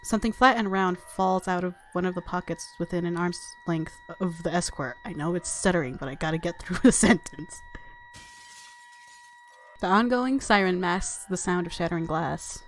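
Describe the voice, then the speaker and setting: A woman's voice: lowish voice, moderate pace. A woman reading visual novel narration aloud as a let's player, with casual, playful reactions. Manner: playful, giggly commentary. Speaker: let's play YouTuber. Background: game music.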